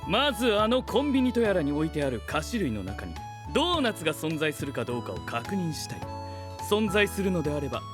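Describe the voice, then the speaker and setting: A man's voice: on the high side, big pitch swings, a little fast, over music. A man playing a haughty, exacting young researcher in an anime voice drama, speaking in stiff, pedantic, formal phrases. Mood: cool, exacting. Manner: stiff formal wording, pompous, clinical.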